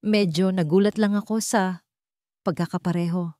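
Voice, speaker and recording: A woman's voice: lowish voice, fairly even intonation, normal speed, mellow, dry recording, studio quality, close to an everyday voice. A woman voicing a wealthy businessman in a drama, cold, insistent and accusing. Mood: controlled. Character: wealthy young businessman, cold, irritated, intense.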